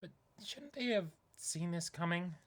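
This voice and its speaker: Lowish-voiced woman reading dialogue. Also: expressive, measured pace. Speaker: reader in a manga dramatic reading. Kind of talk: reading manga dialogue and narration aloud.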